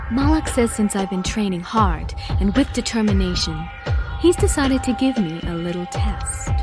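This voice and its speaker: An actress playing an earnest, determined young woman seafarer in a game cutscene, speaking deliberately. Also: medium pitch, lightly acted. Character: young female foreign seafarer, earnest, determined, turning vengeful. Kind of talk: dramatic game cutscene narration and dialogue. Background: music.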